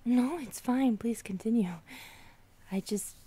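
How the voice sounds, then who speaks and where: Casting: affectionate centipede monster girlfriend for ASMR roleplay, female actor, high soft breathy voice, intimate, shyly playful. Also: slow. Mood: tender, relaxed. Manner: intimate, playful, lightly teasing.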